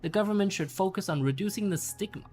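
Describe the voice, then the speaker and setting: A man reading a scripted explanatory essay aloud in a measured way, stressing the openings of sentences. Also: high voice, darkish tone, faint music behind. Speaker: narrator reading a bedtime-story style essay. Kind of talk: scripted narration read aloud.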